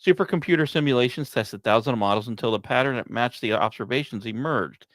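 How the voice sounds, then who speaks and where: Male host, mid-range voice, measured scripted science read, explanatory delivery, very dry close recording. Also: mid-range voice, a bit bright, no room sound. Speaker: podcast host.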